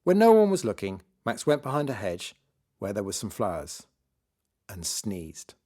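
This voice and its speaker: A man narrating a children's story in a lively, scripted read-aloud style. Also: on the low side, normal speed. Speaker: author reading his children's book.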